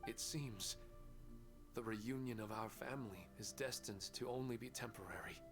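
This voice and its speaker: A man's voice: on the low side, normal speed, lightly acted. A man playing a humble, earnest, resigned ordinary father in a video game, with a subdued delivery. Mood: troubled. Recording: music behind the voice.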